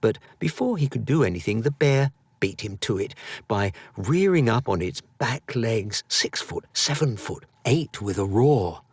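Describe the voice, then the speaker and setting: A man narrating a children's story expressively, with dramatic, drawn-out emphasis. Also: mid-range voice, lively intonation, breathy edge, dry recording. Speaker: audiobook narrator.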